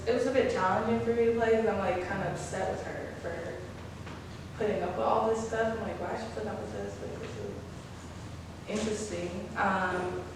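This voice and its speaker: A woman actor from Georgia, reflecting casually in a group discussion. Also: medium pitch. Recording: very echoey room, distant noisy mic.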